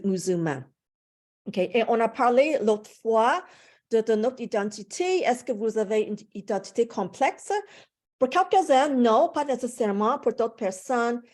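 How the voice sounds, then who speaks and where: A woman's voice: on the high side, darkish tone. A woman from the United States, a French professor, lecturing to a class with many fillers and restarts.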